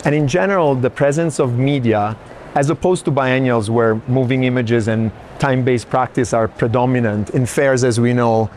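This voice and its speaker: Male curator moderating amid clear venue noise. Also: medium pitch, moderate pace, darkish tone. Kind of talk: conversational moderating. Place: busy art fair hall.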